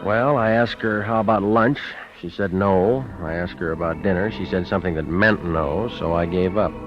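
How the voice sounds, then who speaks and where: An actor playing a cynical, wisecracking private detective with deadpan, clipped delivery in a 1940s radio noir drama. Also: male voice, deep voice, normal speed, close to an everyday voice. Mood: wry narrating. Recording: dull old radio recording with music.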